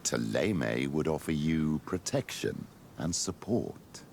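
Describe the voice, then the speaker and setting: Older male bishop, fantasy game: rock-bottom pitch, heavy creak, stern, pompous, drily disapproving. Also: animated, slow, a little mellow, a little hiss or hum, exaggerated voice. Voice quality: very deep, gravelly. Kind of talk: video game cutscene acting.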